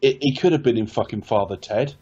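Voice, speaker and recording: A man's voice: mid-range voice, quick pace, mellow, slightly rough. A man from Ireland hosting a casual film review podcast, rambling and wry, often correcting himself. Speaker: podcast host. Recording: dull lo-fi podcast audio.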